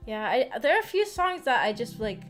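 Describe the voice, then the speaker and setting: Higher-pitched young woman chatting on stream. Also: sing-song, moderate pace, fairly bright tone. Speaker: streamer hosting a visual novel book club. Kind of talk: casual livestream chat, reading and answering viewer comments. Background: background music.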